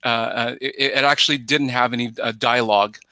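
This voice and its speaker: A middle-aged man chats as a guest on a film podcast. His voice is strikingly bright and sharp, and he speaks haltingly, circling back to find words. The headset sound is dry, with no room echo. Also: medium pitch, steady tone. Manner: halting, searching for words, repeats himself. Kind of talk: casual film discussion. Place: indoors at home on a video call. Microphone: headset on video call.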